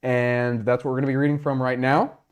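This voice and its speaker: A man's voice: mid-range voice, little pitch movement, a little fast, smooth. A man hosting a casual livestream, rambling and joking as he talks to his chat on a lapel mic. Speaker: livestream host.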